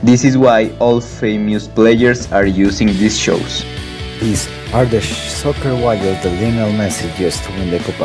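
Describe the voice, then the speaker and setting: A man with a Colombian Spanish accent giving an earnest sales pitch in a mock advertisement. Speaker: ad presenter.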